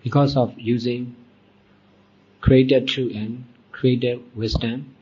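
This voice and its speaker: A man teaching as a Buddhist monk, leading a guided meditation in deliberate short phrases with long pauses. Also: mid-range voice, steady tone, dark tone, breathy edge. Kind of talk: guided meditation dhamma talk. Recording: dull, muffled lo-fi recording.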